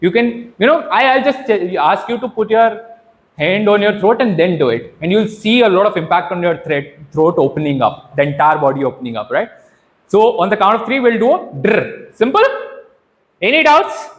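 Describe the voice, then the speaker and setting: A man, a trainer giving an interactive public speaking workshop in an animated, instructive way, often asking questions and checking in. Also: sits high, moderate pace.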